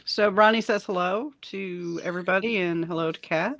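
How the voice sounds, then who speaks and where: A woman's voice: fairly low pitch, moderate pace, gravelly, a crowd in the background. A woman hosting a casual geek culture panel chat, informal and given to repeating her phrases for emphasis.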